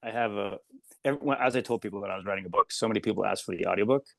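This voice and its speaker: A man's voice: on the low side, very fast, gravelly, bright, forceful tone, no room sound. A middle-aged man from Maine, a podcast guest chatting casually and animatedly, often acting out quotes in a raised voice. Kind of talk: casual interview chat.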